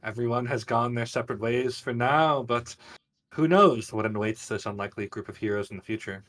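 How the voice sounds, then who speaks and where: A man's voice: medium pitch, brisk, fairly bright tone. A man working as game master, narrating a tabletop fantasy game for a live stream.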